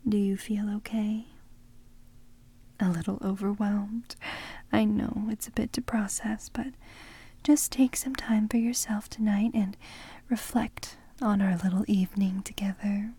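A woman speaking in a soft, breathy whisper for an ASMR roleplay, teasing and commanding. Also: medium pitch, flat delivery, measured pace.

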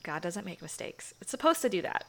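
A young woman voicing a shy, sweet churchgoing girlfriend in an intimate audio roleplay, speaking softly with hushed, hesitant phrasing and frequent giggles. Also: mid-range voice, sing-song, normal speed, creaky, slight background noise. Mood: tender, teasing.

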